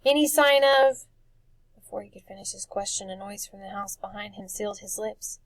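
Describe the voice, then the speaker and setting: A woman reading a dark fantasy story for children as expressive scripted narration. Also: medium pitch, fairly quick. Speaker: audiobook narrator.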